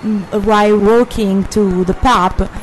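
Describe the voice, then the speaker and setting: An Italian woman hosting a travel vlog, chatty and lively, halting and careful when she switches to English with an Italian accent. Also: mid-range voice, a little hiss or hum, poor audio. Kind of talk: casual on-the-street presenting.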